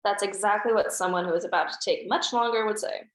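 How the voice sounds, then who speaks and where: Casting: a woman reading a sharp-tongued young daughter, medium pitch, roomy echo. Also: fast talker, lightly acted. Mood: wry. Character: teasing young adult daughter. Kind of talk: table read.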